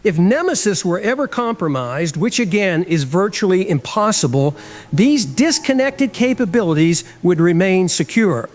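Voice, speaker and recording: A man playing a measured, evasive deputy director who defends his program in formal, diplomatic language in an audio drama interview. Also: high-pitched, sing-song. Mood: measured, defensive. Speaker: interviewed government official. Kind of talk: scripted radio drama interview.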